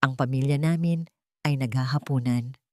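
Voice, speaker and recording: A woman voicing a calm, polite businessman in a story, speaking with firm authority. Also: extremely low pitch, normal speed, no room sound, studio quality, pitched below the performer's own voice.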